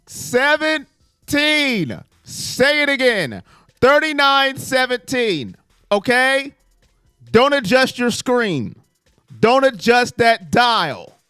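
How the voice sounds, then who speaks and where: A man hosting a live sports stream, chatting and teasing his viewers, his voice hoarse from yelling. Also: very high-pitched, drawn-out words, no room sound, studio quality, faint music behind. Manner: animated, teasing chat with viewers. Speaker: sports livestream host. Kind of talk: live fan pregame chat.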